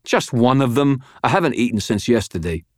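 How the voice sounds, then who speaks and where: A man's voice: sits low, animated, slow, darkish tone, no echo, clean studio sound, full character voice. Man acting a smug, sneering crook. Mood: snappish. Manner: sneering, slangy, cocky. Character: smug, snarling British male criminal, heavyset and insolent.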